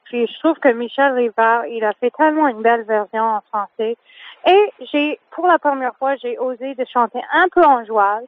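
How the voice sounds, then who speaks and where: A singer whose first language is English speaks French, hesitant and full of fillers. Her voice sits fairly high. She comes through a tinny phone line. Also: moderate pace, no room sound. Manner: hesitant, many fillers and restarts. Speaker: singer guest. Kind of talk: phone interview.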